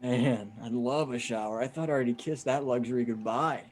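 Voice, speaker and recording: An actor playing a weary, trusting husband and survivor in a table read, with a casual, understated delivery. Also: male voice, mid-range voice, a little fast, a little mellow, lightly acted. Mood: friendly.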